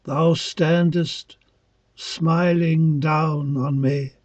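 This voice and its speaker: A man reciting dramatic poetry as an awed, devout young reveller of ancient Greece, solemn and declamatory in halting phrases. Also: a little high, fairly even intonation, darkish tone, dry recording. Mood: rapt, dreamy. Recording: dull lo-fi, dry close recording.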